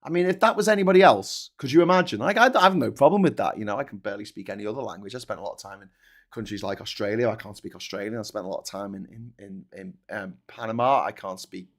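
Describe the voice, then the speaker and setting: A man's voice: lowish voice, fast. A man hosting a casual morning livestream, reading comments aloud with sarcastic, rambling asides. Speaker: host.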